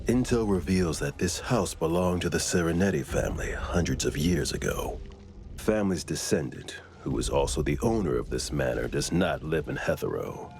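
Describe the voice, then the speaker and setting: An actor playing a stern, cautious investigator in a game cutscene, with a measured, matter-of-fact delivery. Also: male voice, very deep voice, animated, gravelly, a little mellow, slight background noise, heavily acted. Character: stern, cautious, very deep-voiced male veteran investigator. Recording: music behind the voice.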